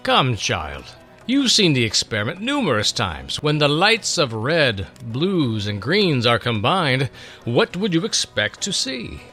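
A man's voice: mid-range voice, wildly swooping pitch, a little slow, bright, forceful tone, faint music behind, exaggerated voice. A man voicing a fervent, reverent elder astrologer and teacher in a dramatic story reading. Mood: patient, instructive.

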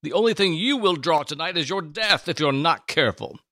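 Man playing an anxious, protective old man, pitched up. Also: lively intonation, brisk, fairly bright tone, no room sound, clean studio sound. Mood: fond scolding. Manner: scolding, pleading, protective. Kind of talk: dramatic Bible story reading.

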